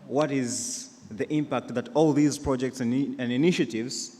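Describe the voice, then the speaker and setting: A Tanzanian-accented man with a mid-pitched voice addresses a panel from the audience. He presses his question earnestly. The sound carries the long echo of a conference hall. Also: a little mellow. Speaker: audience questioner, company executive. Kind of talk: question from the floor.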